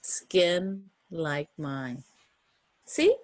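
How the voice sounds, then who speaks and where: Animated woman reading aloud to children. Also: low voice, very slow, no room sound. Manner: expressive, encouraging, asks the listeners questions. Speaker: children's storybook reader. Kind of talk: read-aloud to young children with chatty asides.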